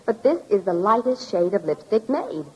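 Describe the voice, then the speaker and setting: An actress playing a stubborn, intuitive young sleuth's sidekick in a 1940s radio drama, earnest and insistent, with a breathy voice. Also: female voice, mid-range voice, very animated, moderate pace, warm tone, highs cut off, natural voice. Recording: old-time radio recording.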